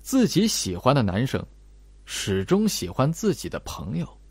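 Audiobook role: clever young male sleuth voiced by a man, calm, measured, explanatory, dry sound. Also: mid-range voice, breathy edge, no echo, full character voice. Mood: cool, analytical.

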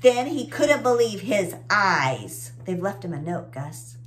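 A woman's voice: medium pitch, very animated, takes it slowly, slight background noise. A woman in her forties with an American accent, reading a picture book aloud to children and explaining things simply with questions. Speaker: children's story time host. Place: small indoor room with bookshelves.

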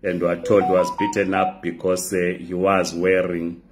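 A man, hosting a political talk show to camera, his voice hoarse from a flu, pausing often with "uh". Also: low-pitched, flat delivery, over music. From Zimbabwe. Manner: measured, frequent "uh" pauses. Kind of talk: direct-to-camera political address. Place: bright indoor room. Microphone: phone camera close up.

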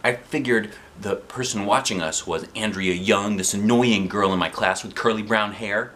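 A man reading a children's book aloud in an expressive, playful way, with chatty asides. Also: lowish voice, moderate pace, slight background noise. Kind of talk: animated storybook read-aloud with chatty asides. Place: living room sofa, indoors. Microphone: camera a short distance away.

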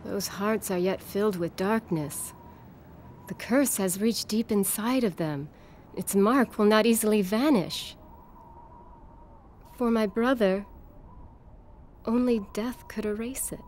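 A woman's voice: mid-range voice, slow, pauses often, a little mellow, a little hiss or hum, close to an everyday voice. An actress playing a composed, wise and resolute young noblewoman in a dramatic video game, speaking formally and earnestly. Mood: somber.

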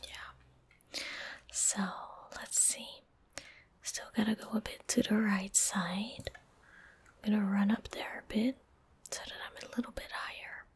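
Female, twenties, for ASMR gameplay narration: hushed breathy whisper, very even pitch, calm and close-miked. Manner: calm, gentle, unhurried. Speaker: streamer narrating her gameplay. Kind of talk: soft-spoken ASMR game commentary. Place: indoor room at a gaming desk. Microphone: close desk microphone.

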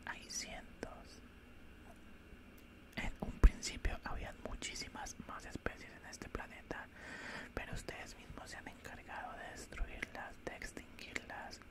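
A man voicing a cold, condescending alien scientist in a whispered ASMR roleplay, speaking in a calm, clinical, breathy whisper close to the microphone. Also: close to an everyday voice, poor audio. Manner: slow, calm, clinical, faintly menacing. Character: cold, condescending male alien scientist examining a human specimen. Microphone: close-up studio microphone.

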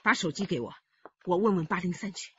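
A woman's voice: on the low side, lively intonation, brisk, background noise, close to an everyday voice. An actress playing an anxious but steely elderly grandmother in a radio drama, bossy and scolding. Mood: reproachful, worried. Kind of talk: Chinese radio drama acting. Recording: dull radio-drama recording.

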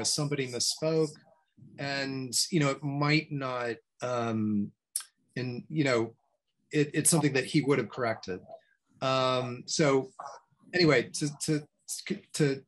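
A middle-aged man in an academic discussion on a video call, speaking reflectively and hesitantly, often restarting phrases. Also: mid-range voice. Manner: reflective, hesitant, repeating himself. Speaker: guest scholar. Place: small home study, indoors. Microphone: video call, computer mic.

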